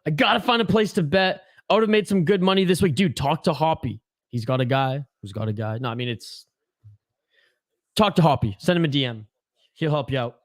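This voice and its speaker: A young Canadian man with a fairly high voice hosts a hockey watch-along stream. He chats loosely, swears, and answers viewer comments aloud. His voice is close and dry, with no room sound. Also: a little fast, no room sound. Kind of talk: casual live-stream chat.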